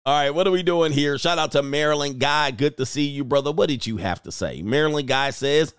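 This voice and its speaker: A man's voice: fairly high pitch, normal speed, clean tone, no room sound, clean studio sound. A man hosting a casual livestream as a dating coach, reading viewer comments aloud in a joking, rambling way and laughing often. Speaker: dating coach and show host.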